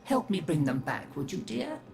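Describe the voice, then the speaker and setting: Elderly mother figure, low voice, swooping intonation, gentle, quaint, cosy, wistful, game character. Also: sing-song, moderate pace. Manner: cosy, old-fashioned, lilting, folksy phrasing. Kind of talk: video game character acting. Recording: music behind the voice.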